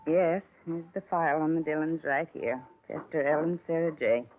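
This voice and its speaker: An actress playing a brisk, obliging store credit clerk in a 1950s radio drama. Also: female voice, low-pitched, slight background noise, lightly acted. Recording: tinny lo-fi 1950s radio broadcast.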